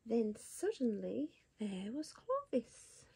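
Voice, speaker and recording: A woman reading a bedtime picture book to a child in a soft whisper, gasping and asking the child questions. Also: on the high side, big pitch swings, takes it slowly, creaky, slight background noise. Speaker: bedtime story reader.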